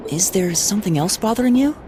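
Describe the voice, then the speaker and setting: An actress playing a thoughtful, friendly young student in a video game, speaking tentatively but expressively. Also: female voice, mid-range voice, lively intonation, quick pace, noisy background, close to an everyday voice. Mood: surprised, concerned. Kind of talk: video game cutscene dialogue acting.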